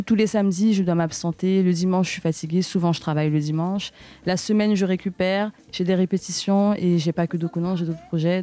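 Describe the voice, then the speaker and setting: A woman from French Guiana in her thirties, telling her story as a backing singer in a documentary interview, talking casually and pausing to restart her sentences. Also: on the low side, mellow. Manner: conversational, thinks aloud, pauses to restart sentences.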